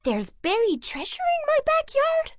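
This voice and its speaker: Casting: a tiny yappy girl dog for a storybook, very high and squeaky, slightly dull recording. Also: very animated, slow pace, sharp tone, no echo, exaggerated voice. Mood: curious. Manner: yappy high barking, eager questions. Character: small excitable female pet dog.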